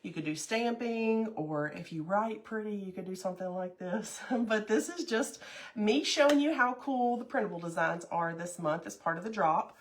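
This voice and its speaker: A woman's voice: medium pitch, expressive, normal speed. A middle-aged woman, stuffy from a cold, chatting casually with viewers on a craft livestream. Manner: chatty, bubbly, greets viewers by name.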